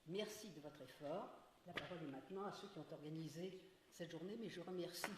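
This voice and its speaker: A woman giving a formal welcome address as the academy's secretary, slow and halting as she weighs her words. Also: sits low. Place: large ceremonial hall. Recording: echoey hall with faint noise.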